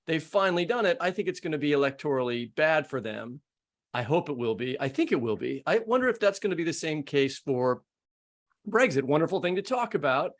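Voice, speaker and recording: A man's voice: on the high side, big pitch swings, a little fast. A man co-hosting a podcast, giving opinions in casual, informal short bursts. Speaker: podcast host.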